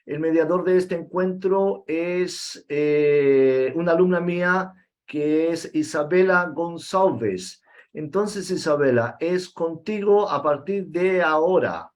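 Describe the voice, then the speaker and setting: A man's voice: a little high, slow. A man with as his hosts a video-call meeting with a light, raised voice. He talks loosely and informally, restarting phrases and drawing out his fillers. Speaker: host and Spanish teacher.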